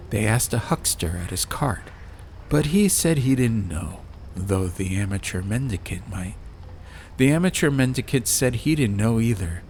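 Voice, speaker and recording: A man's voice: fairly low pitch, creaky, darkish tone. A man reading a scripted atmospheric storybook tale as an audiobook narrator. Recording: faint noise and ambience behind voice. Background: ambient background sound.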